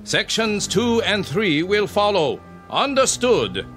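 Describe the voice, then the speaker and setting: An actor in a radio drama playing a merciless, contemptuous army captain who barks clipped, imperious orders. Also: male voice, high-pitched, sing-song, slow pace, fairly bright tone, soft background music, heavily acted. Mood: cold, commanding.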